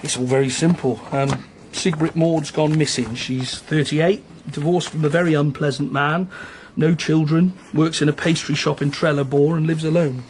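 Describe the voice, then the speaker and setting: Guy acting a chatty local cop in a radio play, lightish higher voice, matter of fact, bit of background noise. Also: steady tone, normal speed, hardly any pauses, a little mellow, natural voice, low-quality recording. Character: chatty, candid small-town Swedish police chief. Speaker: actor in a radio crime drama. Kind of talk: naturalistic radio drama dialogue.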